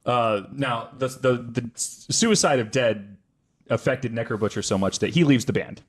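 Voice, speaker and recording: A man with an average-pitched voice hosts a podcast, telling a story from his notes. He talks casually and haltingly, stopping to correct himself and work out tricky names. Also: husky. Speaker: podcast host.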